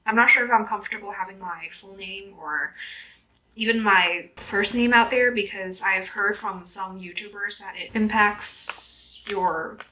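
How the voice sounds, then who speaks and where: A woman's voice: mid-range voice, fairly even intonation, measured pace, pushed and bright, rough recording. A woman in her twenties from Vietnam, chatting casually while getting ready at home, laughing often and filling her speech with "like" and "um". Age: 20s. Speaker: YouTuber. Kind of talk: casual get-ready-with-me chat. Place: indoors at home in a city, doing makeup.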